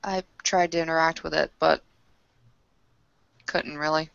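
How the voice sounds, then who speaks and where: A woman improvising in character in a tabletop roleplaying session, with hesitant, halting phrasing. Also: deep voice, fairly even intonation, vocal fry, fairly bright tone, smooth, no room sound. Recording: dry, dull lo-fi audio.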